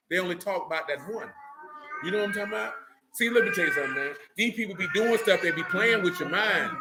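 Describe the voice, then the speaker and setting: A man's voice: high-pitched, bright, forceful tone, a little hiss or hum, low-quality recording. A man with speech teaching a religious group informally, emphatic and streetwise, often checking in with his listeners.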